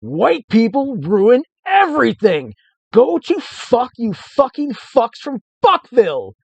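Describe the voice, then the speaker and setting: A man's voice: extremely high pitch, huge pitch swings, slow pace, vocal fry, breathy edge, dry recording, pitched above the performer's own voice, exaggerated voice. A man voicing a frantic, furious hairy hermit who shrieks and repeats himself in a story reading. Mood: screaming fury.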